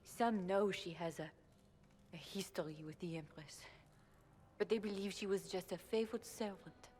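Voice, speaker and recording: A woman's voice: on the low side, moderate pace, darkish tone, lightly acted. A woman playing a guarded, bitter young elven servant in a video game, speaking earnestly.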